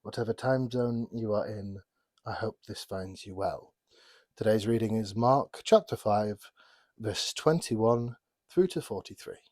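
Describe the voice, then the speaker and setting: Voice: mid-range voice, unhurried, darkish tone, no room sound. A podcast host reading a scripted introduction and sign-off.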